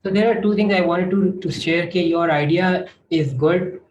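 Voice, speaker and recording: A man's voice: sits high, moderate pace, highs cut off. A man with a Pakistani accent speaking as a panelist, encouraging and explaining by restating his points. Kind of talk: encouraging advice in an online panel discussion. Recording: echoey room with faint noise.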